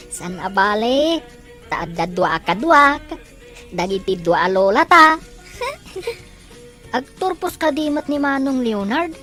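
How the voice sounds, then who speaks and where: An actress playing a daughter in an Ilocano audio drama. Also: female voice, fairly high pitch, lively intonation, slow, pauses often, a bit bright, soft background music. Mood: playful. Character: young daughter chatting with her mother. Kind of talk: Ilocano radio drama acting.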